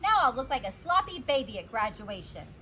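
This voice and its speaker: Woman acting excitable, high-pitched dramatic little girl. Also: a little fast, slight background noise, heavily acted. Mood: grumbly. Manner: emphatic, childlike exclamations and grumbles. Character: excitable dramatic little girl narrating her own mishaps. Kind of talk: children's storybook read-aloud.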